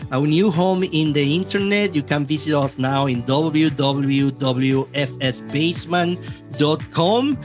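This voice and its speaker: A man hosting his podcast from his basement, talking in a lively, chatty way with small restarts. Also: a little high. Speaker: podcast host. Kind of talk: enthusiastic podcast introduction and interview. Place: home basement.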